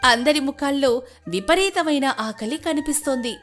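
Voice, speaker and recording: A woman's voice: high voice, expressive, normal speed, over music. A woman reading a scripted moral story as its narrator.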